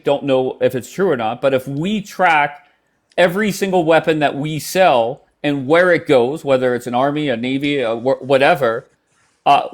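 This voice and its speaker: A man hosting a casual live-stream debate, keeping order and sometimes swearing. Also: on the high side. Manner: keeps cutting in to steer turns, swears. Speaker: host moderating a live debate.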